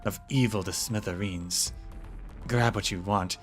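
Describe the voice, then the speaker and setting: A man voicing a haunted young nobleman who speaks in a low, grim, determined way. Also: a little slow, soft background music, close to an everyday voice. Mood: resolute. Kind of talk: game story read aloud.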